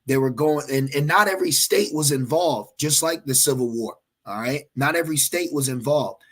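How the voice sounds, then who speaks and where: A man's voice: medium pitch, moderate pace, a bit bright. A man preaching in an emphatic, repetitive way, with frequent fillers and checks like "okay?". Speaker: religious teacher. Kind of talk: preaching commentary.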